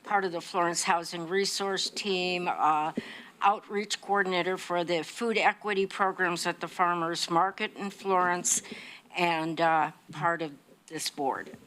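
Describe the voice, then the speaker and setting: A woman from Oregon with a loud voice, introducing herself plainly at a board meeting. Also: fairly low pitch, steady tone, unhurried. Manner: loud, direct.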